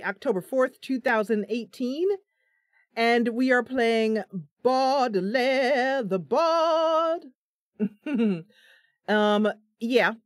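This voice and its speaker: A woman's voice: fairly high pitch, no echo, clean studio sound. A tired woman streaming live from her home computer, chatting casually, laughing at her own slip-ups. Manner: chatty, giggly, self-deprecating.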